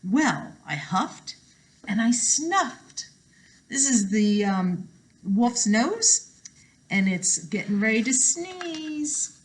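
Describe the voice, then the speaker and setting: A woman reading a storybook aloud to children, expressive and emphatic, drawing out words and adding asides to her listeners. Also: on the high side.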